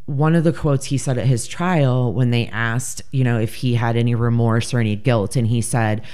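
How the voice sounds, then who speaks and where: A woman with a deep voice co-hosting a casual true-crime chat, blunt, joking and profane. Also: fairly even intonation, moderate pace, dark tone, clean tone. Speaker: podcast co-host.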